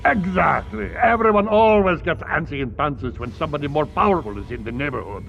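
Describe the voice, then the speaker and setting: An actor voicing an eccentric, boastful alien scientist in a video game, theatrical and grandiose, with a mock Russian accent. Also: male voice, on the high side, animated, brisk, vocal fry, fairly bright tone, dull audio, soft background music. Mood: boastful, scheming. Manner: theatrical, grandiose, broken grammar. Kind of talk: animated video game voice acting.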